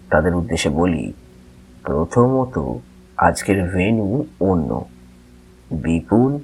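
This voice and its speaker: A man narrating a scripted audio story in the first person, with a wry, expressive delivery. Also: low voice, husky, rough recording.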